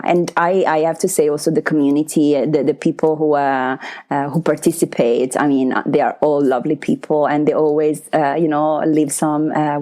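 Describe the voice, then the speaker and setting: A woman with a low, mellow voice, an Italian native speaker talking in English. She speaks in a reflective, searching way, full of "you know" and "uh" and small restarts. A soft, conversational podcast guest. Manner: hesitant, many fillers and restarts, soft laughs. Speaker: podcast guest, writing workshop facilitator.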